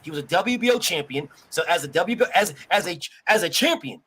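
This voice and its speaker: A man's voice: high-pitched, expressive, brisk, sharp tone, breathy edge. A man arguing boxing on a podcast, insistent and repetitive, talking over the other speaker to press his facts. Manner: insistent, repeats points, talks over others. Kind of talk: heated sports debate.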